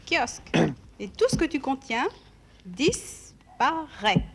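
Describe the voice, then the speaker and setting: A woman's voice: sits high, big pitch swings, slow, vocal fry, a little hiss or hum, exaggerated voice. A woman voicing a proud, bossy little talking magic trunk in a children's show, giving self-important orders. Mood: commanding. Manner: commanding, incantatory, self-important. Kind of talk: children's TV acting.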